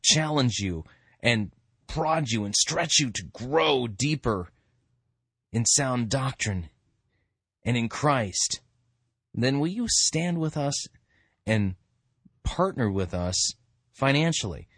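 A man hosting a radio show, giving informal commentary with emphatic, drawn-out delivery. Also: mid-range voice, lively intonation, a bit bright, husky, no echo, studio quality.

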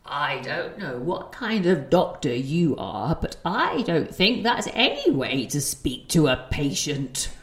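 A woman with a British accent playing a prim, sharp-tongued, confused grandmother in an audio play. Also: low voice, sing-song, slow, hardly any pauses, slight background noise, full character voice. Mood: indignant. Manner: prim, indignant, swinging between tart and apologetic.

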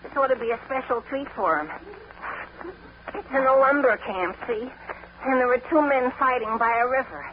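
A man's voice: very high-pitched, moderate pace. A man playing a boyish, earnest teenage pal in gee-whiz 1940s radio comedy style. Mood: eager. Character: glum, eager teenage boy pal, Homer. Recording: muffled, noisy 1940s radio recording.

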